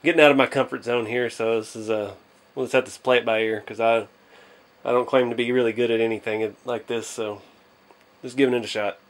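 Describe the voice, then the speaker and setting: A man's voice: mid-range voice, fairly even intonation, brisk. Modest man, thinking aloud. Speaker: hobby channel host. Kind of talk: casual hands-on build vlog.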